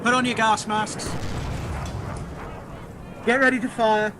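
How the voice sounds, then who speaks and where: A man doing a barking artillery officer, shrill and distant. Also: little pitch movement, slow, background noise. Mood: commanding. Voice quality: clipped shouted commands.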